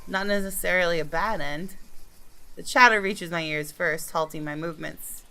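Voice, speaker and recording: A woman narrating visual novel text aloud in a measured, dramatic, expressive way. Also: on the low side, soft background music, rough recording. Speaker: gaming video creator reading a game aloud.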